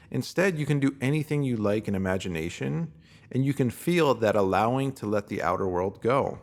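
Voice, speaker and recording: A man reading a scripted self-help piece as narrator, in a measured, instructive way like a guided meditation. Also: lowish voice.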